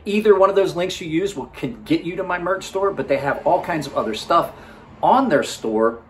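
A man in his forties with a slightly husky voice gives a casual, rambling product review, often repeating words and backtracking. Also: medium pitch. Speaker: host of knife review channel.

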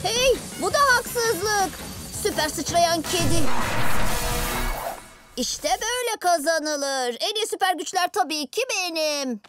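High-voiced, plucky little cat-boy superhero. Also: expressive, takes it slowly, full character voice. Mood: boastful. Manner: eager, earnest, showy. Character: plucky, confident six-year-old superhero cat boy. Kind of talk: animated children's cartoon dub. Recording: prominent music behind the voice.

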